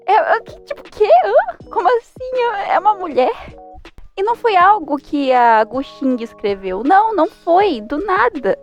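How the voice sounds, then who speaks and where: A young woman with a Brazilian accent giving a casual, informal and expressive review commentary. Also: very high voice, normal speed, muffled recording. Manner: informal, expressive, exclaiming in mock disbelief. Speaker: manhwa reviewer. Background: faint music.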